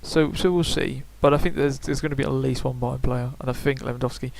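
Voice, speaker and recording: A man's voice: medium pitch, fairly even intonation, a little fast, gravelly, a little mellow, rough recording. A young man giving casual, rambling video game predictions, full of fillers and self-corrections. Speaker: gaming YouTuber.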